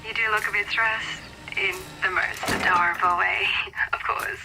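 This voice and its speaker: An actress playing a supportive, gently probing woman confidante in a naturalistic audio drama, speaking softly and intimately. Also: medium pitch, fairly even intonation, moderate pace, creaky, noisy background, natural voice. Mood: teasing, caring.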